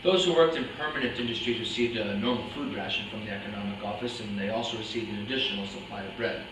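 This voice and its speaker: A man's voice: lowish voice, fast, lightly acted. A man playing an unrepentant former Nazi commandant under questioning in a staged reading, formal and matter-of-fact. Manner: formal, clipped, bureaucratic. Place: indoor room at a live staged reading, distant mic. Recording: very echoey, noisy room, distant mic.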